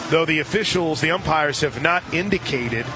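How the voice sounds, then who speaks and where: An American man doing live radio play-by-play commentary of a softball game. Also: a little high, normal speed, background noise. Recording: outdoor stadium broadcast with crowd and music. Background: ballpark crowd and music.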